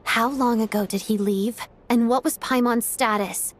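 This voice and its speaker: A woman's voice: a little high, steady tone, normal speed, slight background noise, close to an everyday voice. Woman acting resolute, composed young female agent. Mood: composed, serious. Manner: composed, purposeful. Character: resolute young female agent, rebel against her own organization. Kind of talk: video game cutscene acting.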